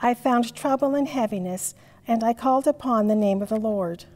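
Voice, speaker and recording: A woman in her sixties leads a service from the lectern of a large stained-glass church, reciting in a slow, formal cadence. Her voice sits fairly high but sounds soft and mellow, and a long reverb hangs behind her words. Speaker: priest leading the service. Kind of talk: liturgical reading.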